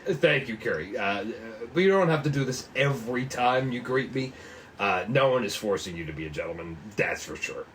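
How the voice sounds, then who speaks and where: A man's voice: medium pitch, lively intonation, normal speed, fairly bright tone, a little hiss or hum, voice pushed down, natural voice. A man voicing a stern, exasperated police boss in a noir cop drama, brusque and weary. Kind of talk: 1940s noir cop drama table read.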